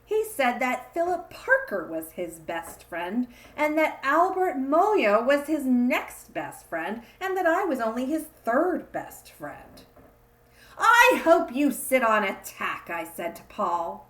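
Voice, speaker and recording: A woman reading a children's picture book aloud, with expressive emphasis on the repeated refrain. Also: high voice, slow pace, roomy sound. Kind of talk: read-aloud storytelling for kids.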